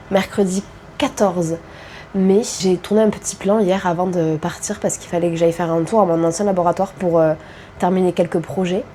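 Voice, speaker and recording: A woman's voice: fairly low pitch, mellow, a little hiss or hum. Stuffy-nosed woman chatting to camera. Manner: chatty, self-deprecating asides. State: congested with a cold. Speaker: book vlogger. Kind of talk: casual vlog chat.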